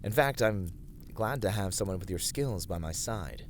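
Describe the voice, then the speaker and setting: A man's voice: sits low, expressive, normal speed, slight background noise. A man playing an earnest, courteous fantasy adventurer in an ASMR roleplay, speaking in formal, thoughtful, slightly hesitant phrases. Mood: warm, reassuring.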